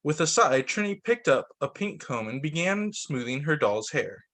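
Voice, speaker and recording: A man reading a children's picture book aloud like a teacher at story time, expressive, with the odd stumble. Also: on the high side, normal speed. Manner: expressive, voices the dialogue with slight shifts; stumbles and corrects himself while reading.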